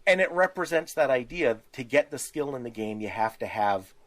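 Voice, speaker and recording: A man's voice: mid-range voice, expressive, normal speed, a bit bright. A man in casual podcast discussion about tabletop games, explaining things with examples and little acted-out asides. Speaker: podcast co-host.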